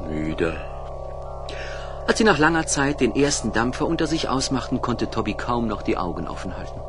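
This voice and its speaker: A male narrator with a mid-pitched, highly animated voice tells a children's story. His phrasing is clear and deliberate, with music audible behind him.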